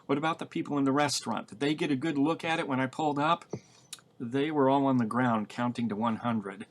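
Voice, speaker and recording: Audiobook role: male reader as hard-edged fugitive woman, sharp, sarcastic, short on patience. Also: medium pitch, steady tone, brisk, slight background noise, close to an everyday voice. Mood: impatient. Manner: blunt, sarcastic. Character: sharp, exasperated, tough-talking woman on the run.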